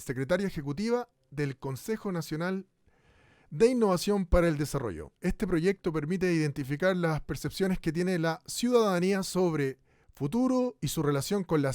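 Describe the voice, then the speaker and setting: Chilean male radio host, played by an actor, slightly high voice, dry close sound, halting on-air delivery in a radio drama. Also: a little mellow, no echo, close to an everyday voice. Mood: formal. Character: male radio host broadcasting live in a bleak future.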